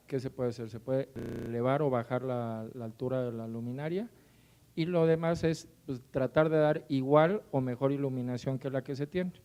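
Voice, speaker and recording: Male workshop participant, mellow tone, speaking off the cuff. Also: mid-range voice. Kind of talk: spontaneous comment at a technical workshop.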